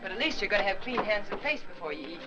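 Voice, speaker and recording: An actress as a dutiful young American housewife in a 1950s film melodrama. She is anxious and placating, always polite, at a middling womanly pitch. An orchestral score plays clearly behind her on a vintage soundtrack. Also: lively intonation, very fast, music in the background, lightly acted. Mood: solicitous. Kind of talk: 1950s Hollywood film drama acting.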